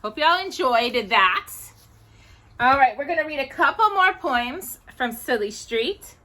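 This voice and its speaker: A woman hosting a children's storytime, reading aloud in an animated, sing-song manner. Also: high voice, unhurried.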